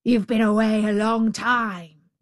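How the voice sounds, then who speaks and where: A woman's voice: on the high side, flat delivery, takes it slowly. A woman voicing a savage male tiger in a storybook, growling, bullying and domineering. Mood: menacing. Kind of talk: storybook character voice.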